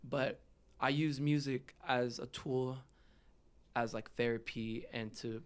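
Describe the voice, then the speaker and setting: A man's voice: medium pitch, fairly even intonation, slow pace, smooth. A man in his twenties speaking candidly and earnestly as a guest in a conversational interview. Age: 20s. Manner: earnest, heartfelt. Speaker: podcast guest, rapper.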